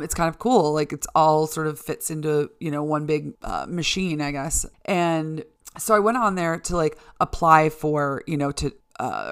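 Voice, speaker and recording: Low-voiced American woman podcasting solo. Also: fairly bright tone, clean tone. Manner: candid, informal, mildly sweary. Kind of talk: casual conversational monologue to listeners.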